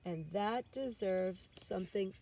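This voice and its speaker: Mother raccoon for a picture book, read by a woman: dark-toned, motherly, patient, comforting; recorded from a distance. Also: medium pitch, takes it slowly. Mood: soothing, loving. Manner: tender, soothing, motherly. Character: gentle, loving mother raccoon, patient and reassuring. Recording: distant mic with background noise.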